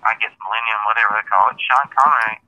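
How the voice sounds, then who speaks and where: A chatty man in his forties calls in over a phone line. His voice has a very bright, sharp edge, and he sounds eager and friendly as he talks with the host. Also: lowish voice, steady tone, fast. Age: 40s. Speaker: caller. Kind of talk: casual phone call-in chat.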